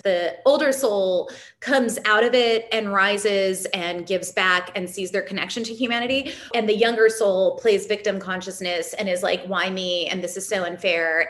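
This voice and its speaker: A woman from California speaking candidly and reflectively as a guest in a casual interview, explaining her ideas as a spiritual teacher. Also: mid-range voice. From California, United States, immigrated at four.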